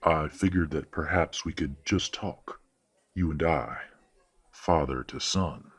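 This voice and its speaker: Man playing a very deep, husky werewolf patriarch. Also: a bit bright, exaggerated voice. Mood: civil, measured. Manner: formal, measured, authoritative. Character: stern, courteous, traditionalist werewolf patriarch and father. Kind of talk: audio roleplay drama acting.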